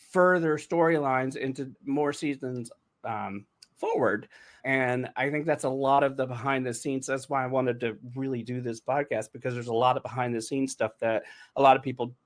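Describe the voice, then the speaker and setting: A man speaking casually and emphatically as a writer-producer hosting a podcast, often repeating words for emphasis and checking in with "right?". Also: medium pitch. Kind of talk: casual behind-the-scenes podcast conversation.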